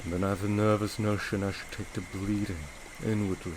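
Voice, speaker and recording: An actor playing a brooding, teasing English gentleman in a gothic romance audio drama, speaking in formal, theatrical period phrasing. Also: lowish voice, little pitch movement, fairly quick, noisy background, close to an everyday voice. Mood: tender, melancholy. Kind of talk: audio drama acting, period literary dialogue.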